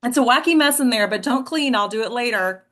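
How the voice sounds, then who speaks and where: A woman playing a warm, wry mother in a naturalistic table read of a play, conversational and unhurried. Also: on the high side, animated. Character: warm, wry mother who cherishes her wrinkles.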